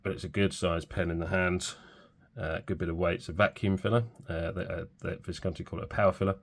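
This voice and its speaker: Male pen reviewer in his forties, very low and gravelly, congested from a cold, unhurried and full of ums. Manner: relaxed, hesitant, many fillers. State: stuffy from a cold. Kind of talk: casual product review.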